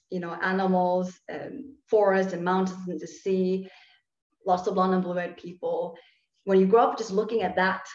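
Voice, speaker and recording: A woman in her thirties from Norway, earnestly telling her personal story on a video call from her living room. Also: fairly low pitch. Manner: earnest, reflective, gestures while talking. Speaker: guest speaker, sociologist. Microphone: laptop webcam on video call. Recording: dull, roomy video call audio.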